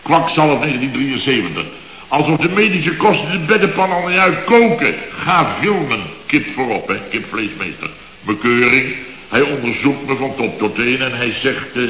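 An actor playing a grumbling, exasperated middle-aged contractor who rants sarcastically in clipped half-sentences in a radio comedy. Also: male voice, sharp tone, echoey room, in a large hall, a little hiss or hum. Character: grumbling, exasperated male building contractor, long-winded storyteller. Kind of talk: 1970s radio comedy acting. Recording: boomy, muffled old radio recording.

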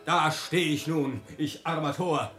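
A breezy young spendthrift in a radio play, recorded with roomy echo. His voice sits fairly high, flippant and wheedling, over faint music. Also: unhurried, sharp tone. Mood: cheeky, jaunty. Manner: flippant, wheedling, mock-declamatory. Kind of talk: German radio drama acting.

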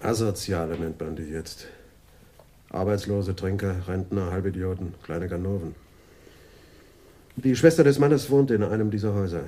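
A man's voice: sits low, moderate pace, long pauses, dark tone. A male actor playing a dry, sardonic veteran police adjutant in a German radio crime drama. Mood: wry. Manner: wry, ironic, laid-back.

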